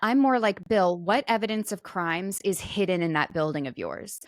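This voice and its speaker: A woman's voice: medium pitch, animated, moderate pace, no room sound, studio quality. A woman co-hosting a talk podcast, chatting casually in a conversational, musing way. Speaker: podcast co-host. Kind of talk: casual chat.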